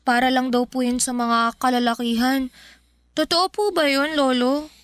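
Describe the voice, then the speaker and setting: A woman's voice: high voice, steady tone, unhurried, no echo, clean studio sound. A woman playing a polite, curious young schoolgirl in a Filipino radio drama, with a childlike, questioning manner. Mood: curious, unsure.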